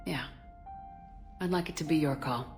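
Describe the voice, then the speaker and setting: Seasoned military woman for a sci-fi game: low register, terse, authoritative, faint score underneath. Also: normal speed, a little mellow, faint music behind. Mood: warm, dry. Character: tough, seasoned female space soldier in command. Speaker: military commander. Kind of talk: video game acting.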